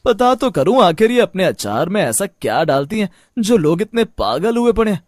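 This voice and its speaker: A man voicing a curious, uneasy young village hero in a horror cartoon, inquisitive and expressive. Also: sits high, moderate pace, no room sound, studio quality. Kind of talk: Hindi horror cartoon voice acting.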